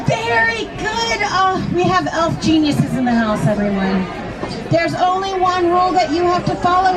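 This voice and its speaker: A woman reading a storybook aloud to children in an expressive way, often putting questions to them. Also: sits high, very noisy. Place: live reading inside a large toy shop. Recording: busy echoey store, crowd and loud music.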